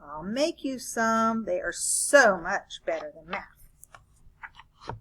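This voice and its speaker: A woman's voice: medium pitch, expressive, drawn-out words, background noise. A woman reading a children's picture book aloud as a grumpy, bored, reluctant narrator who complains expressively. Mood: musing.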